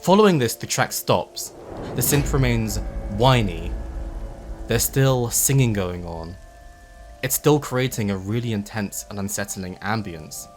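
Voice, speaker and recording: A man narrating a video essay in an explanatory, measured way with emphatic phrasing and casual asides. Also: on the low side, expressive. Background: background music.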